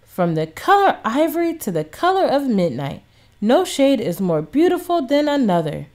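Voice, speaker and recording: A woman voicing a reassuring, patient father in a children's storybook, talking with gentle emphasis to his child. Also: high voice, big pitch swings, moderate pace. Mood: patient, explaining. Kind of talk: children's storybook read-aloud.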